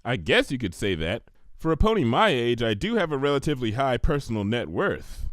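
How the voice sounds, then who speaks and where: A man's voice: medium pitch, big pitch swings, a little fast, a bit bright, no echo, pitched below the performer's own voice. Man as studious young female unicorn, prim, proud, pedantic, dignified, exact wording, cartoon fan fiction, close dry sound. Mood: reserved, proud. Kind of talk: fan fiction character reading.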